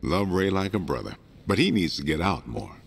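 An actor playing a laid-back middle-aged boss with a relaxed, wry manner. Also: male voice, deep voice, normal speed, vocal fry, slightly rough, heavily acted.

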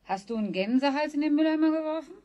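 A woman's voice: high-pitched, lively intonation, very fast, noisy background. An actress playing a worried, probing mother, reproachful and pleading, in a children's radio play. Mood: suspicious. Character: worried, probing mother of two, office worker.

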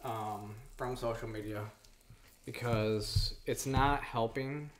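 A woman's voice: a bass voice, fairly even intonation, slow, slight background noise. A woman in her thirties hosting a cooking vlog, talking to camera in an earnest, rambling way from her home kitchen. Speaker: cooking show host. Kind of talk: casual to-camera vlog talk. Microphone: camera on the counter at mid distance.

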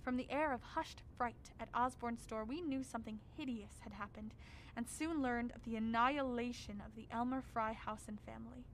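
A grave scholar, played by a woman, recounts horrors in a radio drama. The voice sits fairly high, formal and measured with an ominous weight, over faint background noise. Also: fairly even intonation, close to an everyday voice, rough recording. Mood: ominous dread. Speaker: actor narrating. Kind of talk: radio drama narration as a written record.

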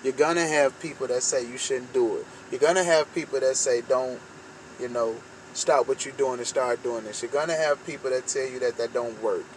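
A man's voice: mid-range voice, fairly even intonation, normal speed. A young American man giving an informal motivational monologue to camera, with emphatic, repetitive phrasing. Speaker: vlogger giving motivational talk. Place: inside a parked car at night. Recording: clearly noisy vehicle ambience.

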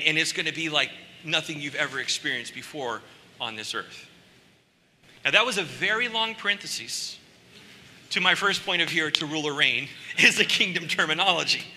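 A middle-aged man, born in Costa Rica, preaching a conversational sermon on a large church stage with animated asides. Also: on the high side, slight background noise. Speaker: pastor. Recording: long hall reverb.

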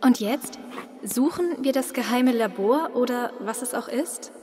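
High-voiced nervous woman survivor. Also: animated, measured pace, darkish tone. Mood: relieved, unsure. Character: nervous female zombie apocalypse survivor. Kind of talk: audio drama acting. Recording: long cavernous reverb, some noise.

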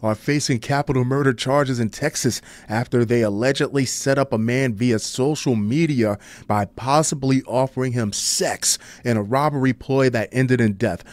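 A man's voice: medium pitch, moderate pace, hardly any pauses, no echo. A man with an American accent reading crime news and commenting on it in a blunt, sardonic, slangy way.

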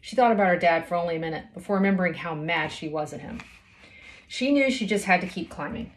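Lower-pitched woman reading a story aloud. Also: fast. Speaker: storybook reader. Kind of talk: read-aloud of a children's novel. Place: small indoor room at home.

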